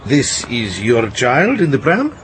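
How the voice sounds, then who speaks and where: An actor playing a gallant, polite Russian secret contact in a radio drama, with formal courtesy and a Russian accent. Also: male voice, medium pitch, huge pitch swings, takes it slowly, background noise. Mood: courtly, charming.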